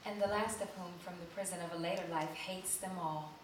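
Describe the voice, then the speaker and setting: Female stage reader, lowish voice, deliberate and theatrical, distant in a noisy hall. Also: steady tone. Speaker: reader in a live staged reading. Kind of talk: dramatic literary reading shared among several voices. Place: live theater performance.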